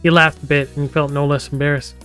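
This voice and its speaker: This is a man with a slightly high voice, live-streaming a game. He reads the story text aloud in a casual way and drops in chatty, joking asides. Music plays softly behind him. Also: little pitch movement, brisk, smooth.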